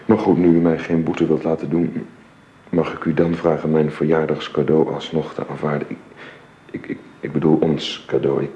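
A man's voice: rumbling low, flat delivery, normal speed, mellow. An actor playing a middle-aged, formally polite and remorseful husband and father in a radio drama, hesitant and stammering. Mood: contrite. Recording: dull, roomy, noisy radio drama recording.

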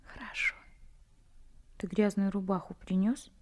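An actress playing a gentle, caring young newlywed in a radio play, speaking softly and breathily, often half in a whisper. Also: female voice, mid-range voice, flat delivery, a little slow, close to an everyday voice. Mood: tender, homely. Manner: gentle, homely, fussing softly. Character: slim, tender, caring young newlywed woman.

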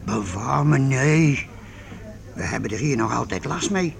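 An actor in a Dutch radio play voicing a timid, folksy medieval market man with a gravelly voice. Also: medium pitch, animated, a little slow. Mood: hesitant, grumbling.

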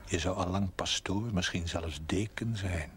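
A low, breathy male voice of a stern guardian angel in a TV drama. He admonishes in a measured, superior way over faint soundtrack noise. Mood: admonishing. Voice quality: hushed, breathy. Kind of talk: television drama acting.

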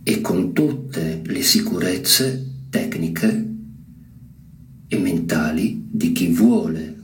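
A man giving informal literary commentary in a reflective, didactic way, with deliberate emphasis. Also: low voice, animated, slight background noise, low-quality recording.

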